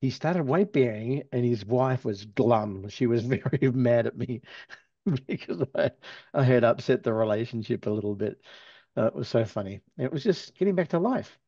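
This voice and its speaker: A man in his sixties from Australia, with an Australian accent worn toward American, relaxed and storytelling as an interview guest, with soft chuckles. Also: mid-range voice, moderate pace, no echo. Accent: Australian, softened toward American. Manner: relaxed, chuckles while telling stories. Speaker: physical therapy professor, guest. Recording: dull lo-fi sound.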